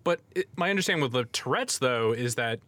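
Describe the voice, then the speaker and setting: A man with a middle-pitched voice, talking in casual banter. He rambles, restarts and laughs his way through arguments, keeping a loose, joking manner as a podcast co-host. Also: lively intonation, a little fast, fairly bright tone, dry recording. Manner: rambling, playful arguing with laughs.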